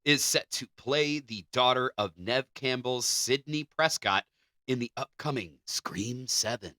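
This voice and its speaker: A man's voice: medium pitch, unhurried, sharp tone, dry recording, clean studio sound. A man co-hosting a podcast, reading out entertainment news with casual banter. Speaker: podcast co-host.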